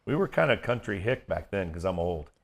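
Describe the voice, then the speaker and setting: A middle-aged American man with a booming baritone, chatting casually as an interview guest. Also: very animated, fairly quick. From Kansas City area, United States. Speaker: guest, event MC and voiceover artist. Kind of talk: casual interview chat with stories.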